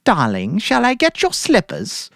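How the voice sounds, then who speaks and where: A woman's voice: mid-range voice, huge pitch swings, measured pace, vocal fry, dry recording, studio quality, heavily acted. A woman playing a devoted, anxious housewife who coaxes solicitously in a dramatic story reading. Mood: eager, solicitous. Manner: coaxing, solicitous.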